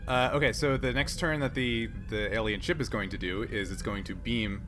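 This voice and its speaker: A man's voice: lowish voice, fairly even intonation, normal speed. A man running a tabletop roleplaying game, narrating the action and thinking aloud with some hesitation. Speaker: game master. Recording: clearly noisy background.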